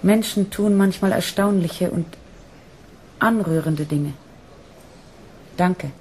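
A woman's voice: fairly low pitch, slow, darkish tone, slight background noise, poor audio. A woman playing a captured female android in a German radio drama, poised and bitterly resigned.

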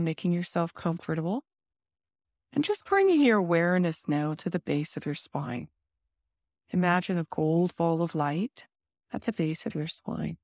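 A woman leading a guided meditation in a soothing, instructive manner with deliberate pauses. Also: on the low side, darkish tone, no echo, studio quality.

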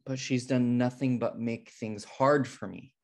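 A man acts out an uptight, square teacher in a sitcom. He is grudging and speaks at a middling pitch. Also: steady tone, a little slow, darkish tone, close to an everyday voice. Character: uptight, square, grudging male schoolteacher brother. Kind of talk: table read of a sitcom pilot. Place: home room on a video call.

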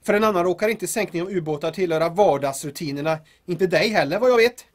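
An actor playing a wry, wordy sergeant and loyal sidekick in an audio drama, with florid phrasing. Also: male voice, high voice. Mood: dry, playful.

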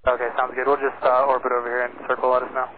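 A man's voice: mid-range voice, completely flat delivery, racing. A man flying an aircraft, making casual calls over aviation radio. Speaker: aircraft pilot. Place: aircraft cockpit. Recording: tinny narrowband cockpit radio with noise.

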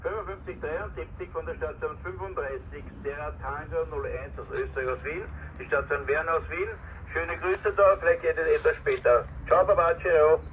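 A man's voice: on the high side, moderate pace, distant mic. A man talking casually as a CB operator, heard over a radio. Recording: muffled noisy CB radio speaker outdoors.

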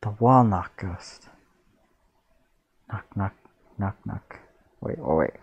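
A man reading aloud casually as a reactor, with spontaneous, expressive exclamations between the lines. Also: lowish voice, slow, vocal fry, highs cut off, slight background noise.

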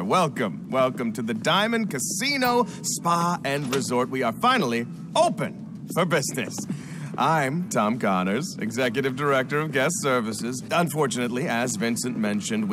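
An actor playing a fussy, eager-to-please casino guest services director in a video game, with a formal, obsequious, easily flustered manner. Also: male voice, mid-range voice, background noise, natural voice. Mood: polished, welcoming. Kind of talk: video game cutscene acting. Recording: music bed behind the voice.

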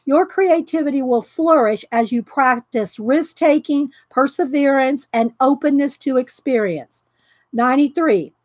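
Middle-aged woman, high voice, loud and chatty craft host, laughs a lot. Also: unhurried, no room sound. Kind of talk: casual live craft chat. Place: home craft room. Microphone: overhead camera pointing down at desk.

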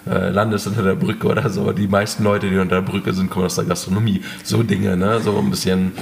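A German man with a very low, deep voice, speaking slowly and hesitantly with frequent "ähm"s as he chats about his work as a chef. Faint background noise sits behind his voice. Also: flat delivery, creaky. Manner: hesitant, many fillers. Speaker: chef, restaurant owner. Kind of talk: casual interview talk.

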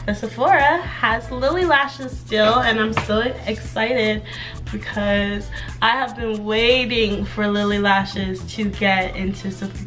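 A young woman chatting casually in a vlog update, a bit hoarse from laryngitis and rambling while she does her hair in her bedroom. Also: medium pitch, a bit bright. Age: young adult. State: slightly hoarse from recent laryngitis. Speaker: vlogger. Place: bedroom with clothes rack, indoors.

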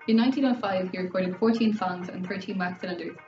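A woman's voice: mid-range voice, fast talker, darkish tone, dull audio. A young woman with an Irish accent races through a scripted educational narration. She sounds distant in a roomy space, with music behind her. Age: young adult. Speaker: student presenter. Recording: band-limited, roomy, distant mic, faint music.

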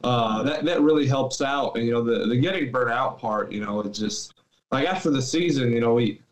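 Young man, from Greece, interview guest, relaxed halting speech, video call, echoey room. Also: medium pitch. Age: young adult. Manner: relaxed, many fillers and restarts. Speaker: guest athlete. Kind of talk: casual interview chat. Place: small room at home.

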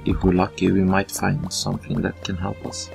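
A man with a Swedish accent giving relaxed let's-play commentary, thinking aloud as he plays. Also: sits low, vocal fry, music in the background. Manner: relaxed, thinking aloud while playing, slow with fillers. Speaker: gameplay commentator.

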